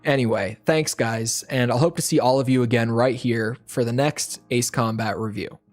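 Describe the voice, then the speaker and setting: A man with a slightly hoarse voice, reading a scripted video game review in a casual, conversational way. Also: medium pitch, steady tone, normal speed, soft background music. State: slightly hoarse, near losing his voice. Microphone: home voiceover mic.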